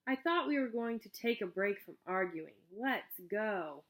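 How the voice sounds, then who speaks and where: A woman voicing a calm father in a storybook, patient and gently firm with his children. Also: medium pitch, measured pace, natural voice.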